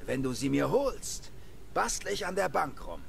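An actor playing a laid-back, roguish space scavenger in a video game, with a gravelly voice and a drawling manner. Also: male voice, fairly high pitch, sing-song, moderate pace, a little hiss or hum, exaggerated voice. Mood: wry, casual. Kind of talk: video game cutscene acting.

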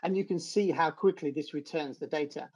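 A middle-aged British man with a husky voice explains a technical demo step by step in an informal screen-share tutorial. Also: a little high, a little fast, a little mellow. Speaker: developer advocate giving a technical demo. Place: home room, indoors.

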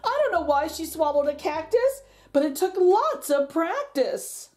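A woman's voice: very high voice, very animated, darkish tone. A middle-aged woman reading a picture book aloud to children, theatrical and rhythmic, drawing out the ends of lines. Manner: theatrical, rhythmic delivery with drawn-out line endings. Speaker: children's story reader and channel host.

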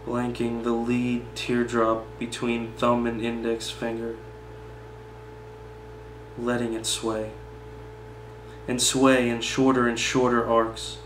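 A man in his twenties speaking in a flat, unhurried manner, seated in a small meeting room and picked up by a camera a few feet away. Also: medium pitch. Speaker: poetry reader. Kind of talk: poem reading, then informal personal commentary. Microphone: camera mic a few feet away. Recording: roomy echo with faint background hum.

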